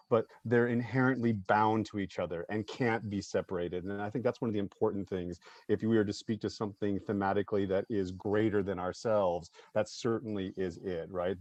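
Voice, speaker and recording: A man with a low voice, recorded dry and close. He speaks earnestly and with emphasis, like someone reflecting on work he cares about, and often tags his points with a short question. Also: a little mellow, no room sound. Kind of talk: reflective panel discussion.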